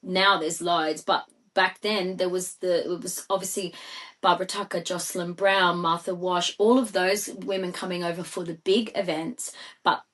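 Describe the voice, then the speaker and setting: A woman's voice: lowish voice, fairly even intonation, moderate pace, fairly bright tone. A middle-aged Australian woman, a singer and DJ, chatting as a podcast guest in a rambling way with many fillers. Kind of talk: casual podcast conversation.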